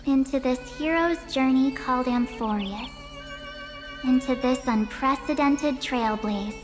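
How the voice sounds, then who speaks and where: A woman's voice: sits high, a little slow, in a large hall, music in the background. A woman playing a bubbly young photography-loving heroine in a video game, playful, boastful and heartfelt. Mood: solemn, determined. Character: bubbly young heroine who loves photography and her journal. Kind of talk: English video game voice acting.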